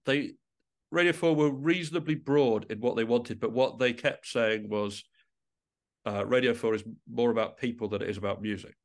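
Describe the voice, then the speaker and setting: Man, medium pitch, gravelly creak, reflective interview talk, thoughtful, halting, self-rephrasing. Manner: thoughtful, halting, often rephrasing mid-sentence. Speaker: interview guest, musician and composer.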